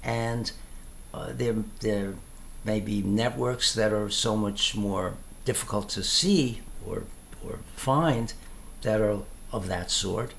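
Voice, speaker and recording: A man thinking aloud in an informal explanatory monologue, halting and reflective, often pausing mid-phrase. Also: on the low side, vocal fry, slight background noise. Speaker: tai chi teacher.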